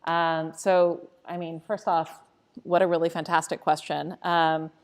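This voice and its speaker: A woman's voice: low voice, flat delivery, a little slow. A woman from Costa Rica answering questions in a live, unscripted church conversation, earnest and reflective. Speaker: church staff member.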